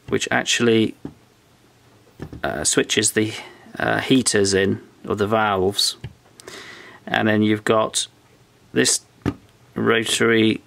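A man with a low, gravelly voice talks through the controls of an old device at his workbench. He explains each part step by step in a relaxed way, thinking aloud as he goes. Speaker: electronics restorer. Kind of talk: hands-on show-and-tell. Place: workbench indoors.

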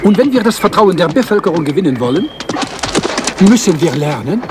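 An actor playing a fussy, precise little Belgian detective who lectures politely in a German radio play. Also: male voice, high voice, sing-song, brisk, noisy background. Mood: lecturing.

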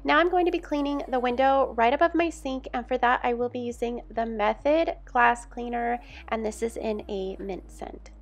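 A woman speaks in a fairly high voice with noticeable vocal fry. She chats in a friendly, conversational way, like a home vlogger talking to her followers. Soft music plays behind her. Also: moderate pace, few pauses. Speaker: home cleaning and decorating vlogger. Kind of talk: casual voice-over chat to viewers. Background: background music.

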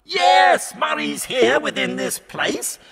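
Scornful shouting devil in a recited ballad, pitched way up and gravelly, as a man plays him. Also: very animated, slow, heavily acted. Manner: shouting, sneering, high strained voice. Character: Satan, scornful male devil who mocks the poor. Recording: long reverb hall.

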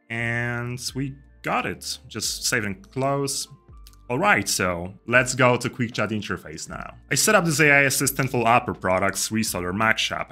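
A young man giving a casual step-by-step software tutorial, speaking into a close desk microphone indoors. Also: lowish voice. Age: young adult. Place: indoor room. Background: music.

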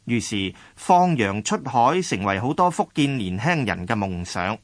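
A man's voice: medium pitch, big pitch swings, measured pace, no echo. A man with a Hong Kong Cantonese accent reading scripted historical narration for a radio drama.

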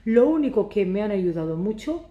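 A woman in her thirties, from Catalonia, chatting to camera in a casual, ironic, rambling way, a little hoarse. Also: medium pitch, very animated, moderate pace, dark tone, roomy sound, highs cut off, slight background noise. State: hoarse from shouting. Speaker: vlogger. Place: living room sofa, indoors. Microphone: phone camera at arm's length.

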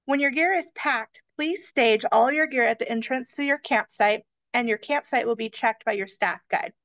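A woman's voice: fairly high pitch, moderate pace, fairly bright tone, no echo. Woman chatting through camp rules, dull dry sound. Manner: friendly, addresses listeners as you guys. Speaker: camp staff presenter. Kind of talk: slideshow briefing. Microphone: webcam on video call.

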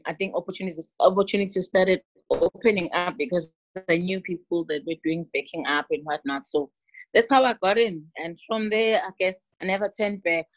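Low-voiced South African woman, chatty. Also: no echo, low-quality recording. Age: 30s. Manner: chatty, laughs often, many restarts. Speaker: interview guest, gospel singer. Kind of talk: casual interview over video call. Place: small room at home. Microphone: laptop webcam on a video call.

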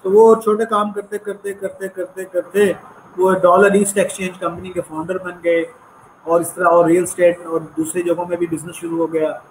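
A man's voice: high voice, reverberant, a little hiss or hum, low-quality recording. Earnest man with a slight American accent. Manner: earnest, reflective, sprinkles English phrases. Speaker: entrepreneurship professor, guest. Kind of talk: conversational interview storytelling.

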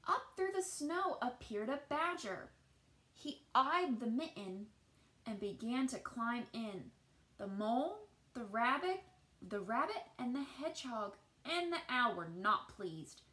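Woman, thirties, teacher-style storybook read-aloud, slightly high pitch, very unhurried and deliberate, expressive emphasis. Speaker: teacher reading aloud to children. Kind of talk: picture-book read-aloud.

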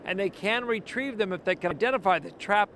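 A man in his sixties reporting live outdoors on a beach with a handheld mic, in a brisk, emphatic news delivery. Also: sits high, a bit bright, slight background noise. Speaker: field reporter.

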